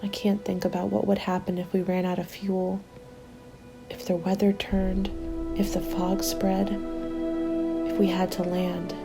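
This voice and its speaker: Woman acting a hushed, weary apocalypse survivor. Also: on the low side, monotone, unhurried, creaky, long pauses, loud music behind the voice. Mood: somber, reflective. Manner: soft, hushed and intimate, often slipping into whispers. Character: weary, hopeful female zombie-apocalypse survivor writing a winter journal. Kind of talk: ASMR roleplay, scripted dramatic narration.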